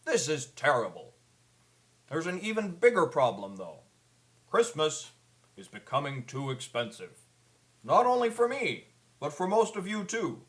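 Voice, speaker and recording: A man's voice: fairly high pitch, big pitch swings, measured pace, long pauses. A man playing a kindly, concerned old Santa Claus, giving an earnest, pleading scripted public address. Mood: concerned, grave. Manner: earnest, pleading storyteller delivery.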